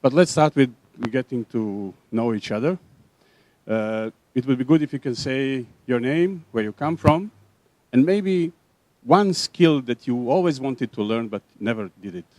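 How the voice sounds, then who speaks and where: A man's voice: mid-range voice, expressive, a little slow, darkish tone, dry recording. A man from Serbia leading a workshop session, talking in a hesitant way with many fillers. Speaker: workshop facilitator.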